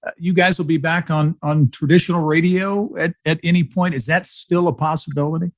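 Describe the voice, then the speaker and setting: An American man hosting a conversational interview, phrasing his questions in a loose, halting way. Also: a little high, steady tone. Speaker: podcast host, interviewer.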